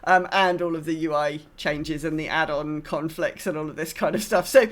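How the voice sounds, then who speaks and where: A woman's voice: sits low, normal speed. A woman co-hosting a podcast, chatting casually and discursively as she thinks aloud. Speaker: podcast co-host. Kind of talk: casual gaming podcast chat.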